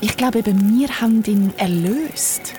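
A woman's voice: mid-range voice, a little slow, noisy background, natural voice. A woman acting an earnest young friend in an audio drama, in natural conversation. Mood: calm, pensive. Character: earnest young woman, mysterious member of campfire friend group.

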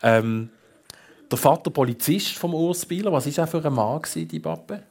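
A man's voice: on the high side, animated, moderate pace, gravelly, a little mellow, husky. A man hosting a conversational interview, asking short questions and giving brief prompts. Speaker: radio host, interviewer.

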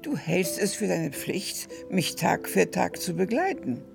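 A worried companion with heavy vocal fry, pressing her point solemnly. It is radio drama style, with music behind her. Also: fairly low pitch, sing-song, unhurried, exaggerated voice. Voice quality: very creaky voice. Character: worried, insistent companion of an old grieving man.

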